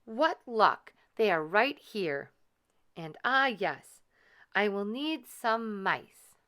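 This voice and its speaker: A woman's voice: medium pitch, very animated, extremely slow, pushed and bright, smooth, voice pushed up, full character voice. A woman voicing a kindly, bustling little fairy godmother from a storybook, exclaiming and coaxing sweetly. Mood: musing, bustling.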